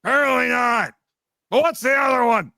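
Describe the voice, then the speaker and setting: High, bright-voiced man with teasing banter. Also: expressive, moderate pace, creaky, dry recording, clean studio sound. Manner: teasing, mock-condescending. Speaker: podcast guest, fan audio drama writer and voice actor. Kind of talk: casual banter explaining trivia.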